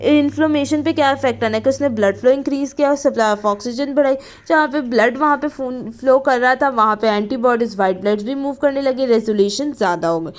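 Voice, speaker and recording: A woman's voice: high voice, fairly quick, few pauses. A woman teaching in an explanatory lecture style, reading out notes and then rephrasing them, mixing in English terms and often checking in. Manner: code-switches between Hindi and English terms; repeats and rephrases points, checking with "okay". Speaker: teacher of physiotherapy students.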